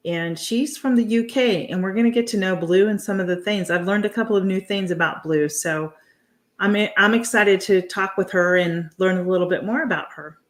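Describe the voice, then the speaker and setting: A woman's voice: mid-range voice, normal speed. An American woman appearing as a guest on a casual live chat, friendly and chatty. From United States. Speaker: guest homesteader.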